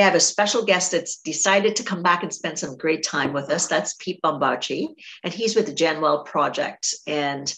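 Low gravelly-voiced woman host from Trinidad. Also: a bit bright. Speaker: podcast host. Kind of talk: conversational interview.

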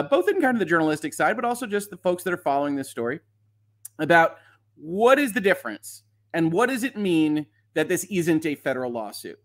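A man's voice: a little high, fairly bright tone. A man hosting a livestream, explaining documents in an informal, conversational way with emphatic stresses and wry asides. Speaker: host of a legal commentary livestream. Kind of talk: informal explanatory commentary, reading documents aloud.

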